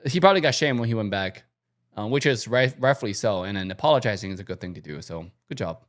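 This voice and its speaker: A man's voice: lowish voice, quick pace. A man from China, speaking English as a with slightly phrasing, giving blunt, casual reaction commentary as host. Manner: blunt, animated, slightly non-native phrasing.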